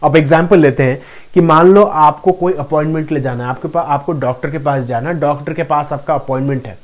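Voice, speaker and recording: A man's voice: on the high side, a little fast. A man giving a motivational self-help lesson, explaining steadily and repeating key phrases for emphasis, with English phrases mixed into his Hindi. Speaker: law of attraction coach. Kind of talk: motivational talk to camera.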